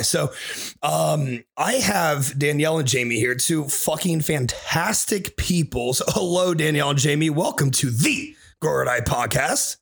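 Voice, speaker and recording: A man's voice: on the high side, creaky, husky. A man in his twenties hosting a podcast in casual chat, hyped and swearing constantly. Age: 20s. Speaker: podcast host.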